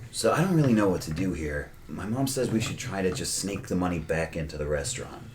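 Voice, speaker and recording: A man improvises casual dialogue as a player in a tabletop game. He is blunt, slangy and a little sardonic, with a pitch that moves freely. The room is echoey, with faint noise behind him. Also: animated, a little fast.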